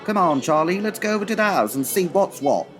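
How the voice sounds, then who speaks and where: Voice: mid-range voice, animated, brisk, fairly bright tone, soft background music, close to an everyday voice. An actor playing a matey working-class Cockney groom in a comedy audio drama, colloquial in manner. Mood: cajoling.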